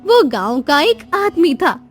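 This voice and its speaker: A woman's voice: high voice, very animated, takes it slowly, faint music behind, full character voice. A woman giving scripted storybook narration as the narrator of a cartoon tale.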